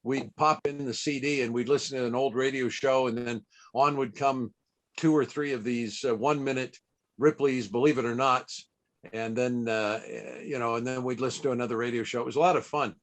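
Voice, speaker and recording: An older man chatting casually on a video call, halting often and hesitating as he goes. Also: mid-range voice, fairly even intonation. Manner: halting, hesitant delivery with many pauses. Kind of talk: casual unscripted chat.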